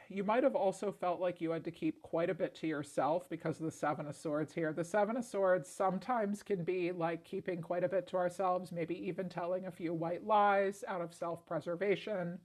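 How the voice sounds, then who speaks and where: A woman with a congested, raspy voice doing a chatty tarot reading, full of "like" fillers. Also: on the low side. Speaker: tarot reader. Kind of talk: casual tarot reading to camera.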